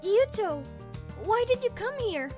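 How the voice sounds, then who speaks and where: Woman as a high-pitched, tough anime schoolgirl gang leader. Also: sing-song, moderate pace, faint music behind. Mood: strained, surprised. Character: tough, protective teenage girl gang leader, sweet on boyfriend. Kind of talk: manga dub character acting.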